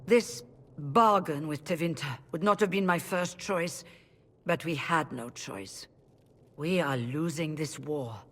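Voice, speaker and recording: A weary leader of rebel mages in a fantasy game. Her voice is husky and on the low side, formal and measured, with a defensive edge. It rings with long hall reverb. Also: a bit bright, exaggerated voice. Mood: resigned.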